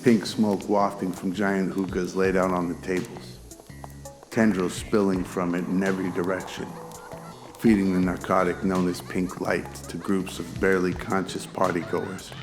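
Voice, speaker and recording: A man's voice: low voice, flat delivery, normal speed, over music. A man narrating a scripted audio drama.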